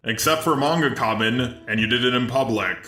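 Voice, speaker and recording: Bright synthetic male commentary voice. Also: mid-range voice, a little fast, echoey room. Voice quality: synthetic robot text-to-speech voice. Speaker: commentary channel host. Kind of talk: scripted video commentary. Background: background music.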